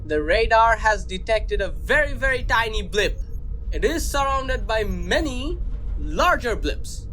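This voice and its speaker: A man's voice: high-pitched, sing-song, measured pace, sharp tone, slight background noise, full character voice, rough recording. A man playing a pompous, over-confident starship captain in a sci-fi comedy audio drama, declaiming grandly. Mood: grandly urgent.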